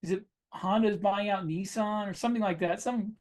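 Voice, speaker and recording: A man's voice: sits high, steady tone, normal speed, darkish tone. A man co-hosting a podcast as a writer, bantering casually with a wry, jokey way of talking. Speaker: podcast co-host and science fiction writer.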